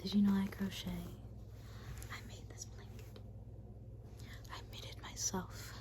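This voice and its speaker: A woman playing a menacing spider demon in an ASMR roleplay, whispering breathily in a rambling, deadpan, casually cruel way close to the mic. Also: medium pitch, a little slow, lightly acted. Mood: wistful, accusing. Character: menacing female spider demon toying with her human prey. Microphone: close ASMR microphone. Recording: hissy close recording with clicks.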